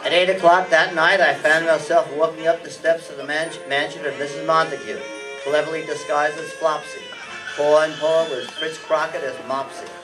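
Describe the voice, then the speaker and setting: A wisecracking hard-boiled detective, played by an older man in a live radio play. His voice sits a little high and is dry and sardonic, picked up from across a hall with music behind. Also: flat delivery, normal speed, recorded from a distance, close to an everyday voice. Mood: wry narration. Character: wisecracking, world-weary male private detective. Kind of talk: amateur radio play reading before an audience. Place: stage of a community meeting hall.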